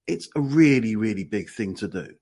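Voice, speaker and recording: A man with a husky, croaky morning voice who talks in long, winding, emphatic stretches and repeats phrases as he goes. His pitch swings widely with emphasis. The tone is casual and conversational. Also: expressive, normal speed, a little mellow. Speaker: podcast co-host.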